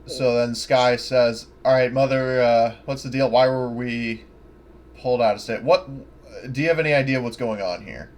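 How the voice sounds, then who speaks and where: A man's voice: mid-range voice, moderate pace. A man playing in a tabletop roleplaying game, speaking casually as he describes and voices his character.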